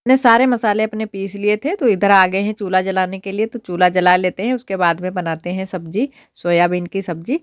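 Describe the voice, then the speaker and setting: A young woman with a hoarse, husky voice from a cold, chatting casually as she walks through her cooking in a village home kitchen. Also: medium pitch, brisk, few pauses, faint music behind. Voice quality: husky, strained voice. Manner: chatty, homely step-by-step narration. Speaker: village vlogger. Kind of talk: casual cooking vlog narration.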